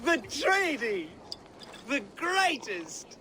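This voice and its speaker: High-voiced, amiable medieval nobleman. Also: big pitch swings, drawn-out words, bright, forceful tone, a little hiss or hum, full character voice. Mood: tipsy, merry. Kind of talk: video game voice acting.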